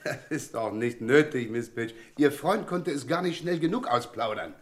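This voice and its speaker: A male actor as a self-assured chief inspector in a radio crime play. He speaks in a middle-pitched voice, laying out facts politely with a dry, ironic edge. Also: lively intonation, moderate pace, lightly acted. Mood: dryly triumphant. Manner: drily ironic, politely explaining. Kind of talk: German radio crime drama acting.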